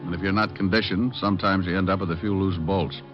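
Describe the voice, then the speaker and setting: A man's voice: low voice, steady tone, fast, lightly acted. A man playing a cocky, sardonic private detective who narrates and trades dry wisecracks in an old-time radio drama. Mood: wry narration. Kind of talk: 1940s-50s radio detective acting and narration. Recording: dull old-time radio recording, music.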